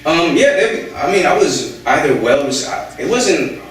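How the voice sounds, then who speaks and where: A man's voice: fairly high pitch, very animated, measured pace. A young American man talking casually in an interview, hesitant, with many fillers and restarts. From United States. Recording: echoey room, voice from far off.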